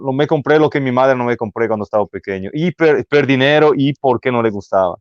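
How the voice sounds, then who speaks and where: A man's voice: mid-range voice, smooth, dry recording. A man from Italy with an Italian accent, speaking Spanish as a guest and often stopping to search for words. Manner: halting, searching for words, restarts. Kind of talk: casual interview chat. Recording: dull, muffled audio.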